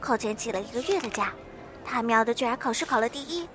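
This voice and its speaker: A woman playing a gossipy, excitable teenage student in an audio drama, chattering away. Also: on the high side, fast, noisy background, close to an everyday voice. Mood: gossiping. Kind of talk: amateur Chinese audio drama acting.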